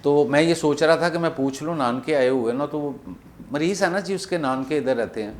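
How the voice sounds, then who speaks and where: A man with a Pakistani accent making a prank phone call, rambling and repeating himself in deliberately confusing improvised banter. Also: a little high. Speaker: prank caller hosting a comedy show.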